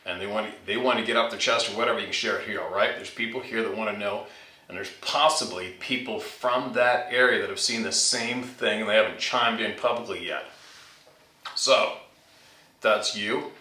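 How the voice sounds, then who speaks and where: Low-voiced man, bright and forceful, roomy sound. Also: moderate pace. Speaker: channel host reading out a viewer's submitted story. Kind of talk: reading a listener's scary story aloud, informal. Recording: roomy echo, distant from mic.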